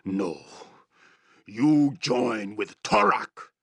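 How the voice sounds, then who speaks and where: An actor playing a brutish, boastful orc chieftain in a fantasy video game, growling threats in broken English. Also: male voice, mid-range voice, big pitch swings, drawn-out words, no room sound, full character voice. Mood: demanding, suspicious. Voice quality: gravelly, growling.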